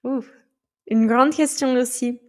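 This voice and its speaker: A woman from the United States, a podcast guest talking through her experiences in a thoughtful, halting way with frequent restarts. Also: a little high, fairly even intonation. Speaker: podcast guest, founder of a training company. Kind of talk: casual podcast conversation.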